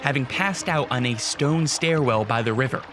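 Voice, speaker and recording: A man narrating a scripted radio drama in a measured, theatrical storytelling manner. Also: mid-range voice, background noise. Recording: clear music behind.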